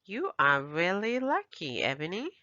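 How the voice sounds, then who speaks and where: Seeking a woman to play an easily amazed, caring storybook mom with a bright, encouraging delivery. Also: lowish voice, a little slow, no echo, muffled recording, full character voice. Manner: gently encouraging, expressive.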